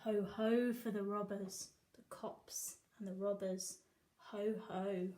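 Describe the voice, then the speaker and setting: A woman teacher reading a picture book aloud to young children, with animated, sing-song emphasis. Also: medium pitch, slow pace. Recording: echoey room.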